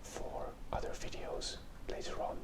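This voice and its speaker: A host whispering casual ASMR narration while drawing, breathy and unvoiced, rambling and thinking aloud. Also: a little slow. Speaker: channel host, artist. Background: drawing tablet sounds.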